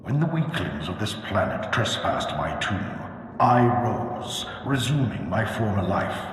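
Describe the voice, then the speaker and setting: Ancient Sith lord ghost, grave, proud, slow, huge echoing hall, video game cutscene. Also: male voice, on the low side, big pitch swings, fairly bright tone. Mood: grand. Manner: solemn, archaic, grandiose. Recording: cavernous hall reverb, background noise.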